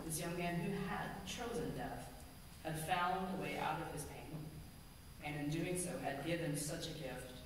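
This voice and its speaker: Woman minister, middle-aged, measured sermon delivery, heard from afar in a long-reverb sanctuary. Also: deep voice. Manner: measured, earnest, slows for emphasis. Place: large church sanctuary, at a pulpit. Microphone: pulpit gooseneck mic, far camera. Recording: echoey hall from far off, ambient noise.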